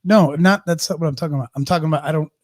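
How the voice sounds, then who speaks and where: A man's voice: fairly high pitch, racing. A man in his fifties co-hosting a live podcast, reading viewer comments and trading dry, teasing banter with his co-host. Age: 50s.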